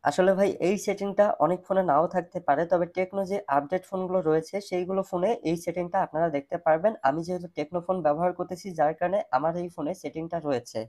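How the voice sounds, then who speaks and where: A man's voice: sits high, fairly even intonation, fast, hardly any pauses, darkish tone. A man hosting a phone tutorial, explaining step by step in a repetitive, instructional way. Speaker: tech tutorial host.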